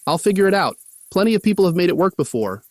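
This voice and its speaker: A man's voice: sits high, very animated, quick pace, dry recording. A man acting an easygoing, slightly stressed college-age artist in an audio drama, talking casually with some hesitation. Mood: casual, animated. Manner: casual, hesitant slangy talk.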